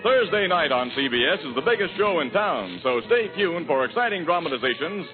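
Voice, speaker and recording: High-pitched, animated 1940s male radio announcer. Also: brisk. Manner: formal, emphatic, declamatory. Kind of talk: 1940s radio announcing, scripted sponsor copy. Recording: tinny 1940s radio broadcast, music behind.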